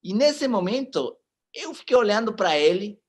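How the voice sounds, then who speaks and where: A man in his forties with a fairly high voice, speaking a language that is not his first. He gives a motivational talk as an animated storyteller. He often turns to his listeners and addresses them directly. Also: normal speed. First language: not Portuguese.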